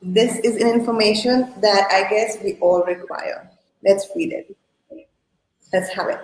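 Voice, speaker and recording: Unhurried woman in an echoey room. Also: medium pitch. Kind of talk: casual live chat, short interjections. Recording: roomy, echoing live-stream sound.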